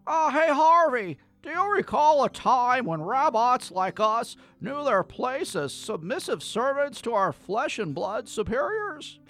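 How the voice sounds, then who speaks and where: A woman acts a breezy character in a radio spoof. The voice is fairly high with lively swings in pitch, over faint music. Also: a little slow, hardly any pauses. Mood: inquiring. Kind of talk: spoof radio commercial acting.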